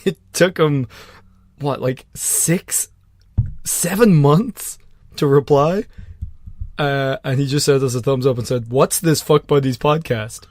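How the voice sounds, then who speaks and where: A man's voice: medium pitch, slow pace, pauses often, a little mellow, no room sound. A man co-hosting a casual podcast from a small room at home, tired and telling jokey, rambling stories. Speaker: podcast co-host. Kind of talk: casual banter.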